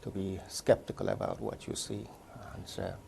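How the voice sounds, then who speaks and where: A man in his sixties from Turkey, recounting his career in a measured, step-by-step way during an oral history interview. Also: low-pitched, very animated, gravelly, darkish tone, a little hiss or hum. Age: 60s. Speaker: interviewee, neurologist.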